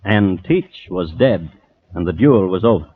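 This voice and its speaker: A man playing a crime historian who narrates an old radio drama in a measured, dryly ironic way. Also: low voice, expressive. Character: dry, storytelling male crime historian. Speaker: host and narrator. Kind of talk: 1950s radio scripted narration. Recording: dull old radio recording, faint noise.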